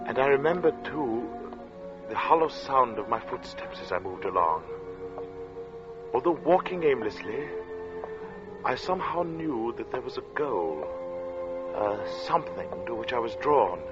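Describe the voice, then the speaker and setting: An actor playing a brooding, haunted artist who narrates his story in a deliberate, dramatic 1940s radio style. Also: mid-range voice, very animated. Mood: brooding, dreamy. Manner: deliberate, dramatic, with weighted pauses. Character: male artist recounting a strange, oppressive hot day, brooding and haunted. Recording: dull vintage radio recording with loud music.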